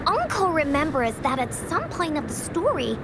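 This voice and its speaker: A woman voicing a cheerful, excitable little girl adventurer in a video game, playful and bubbly. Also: sits high, normal speed, very noisy. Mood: curious, excited. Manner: refers to self in third person, bubbly. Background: music.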